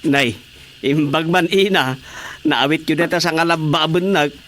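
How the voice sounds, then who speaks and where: A man with an Ilocano accent playing an eager, excitable village councilman in a comedy radio drama. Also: a little high, unhurried, slight background noise. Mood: excited, eager. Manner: excitable, repeated interjections.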